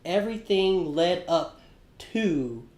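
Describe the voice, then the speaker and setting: A man co-hosting a casual podcast, talking in an excitable, animated way and swearing casually. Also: high voice, slow, reverberant. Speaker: podcast co-host.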